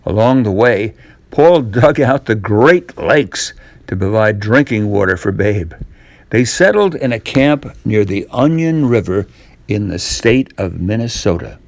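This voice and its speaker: An older man reading a storybook tale for children in a deliberate way, with dramatic pauses. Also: on the low side, expressive, creaky, no room sound.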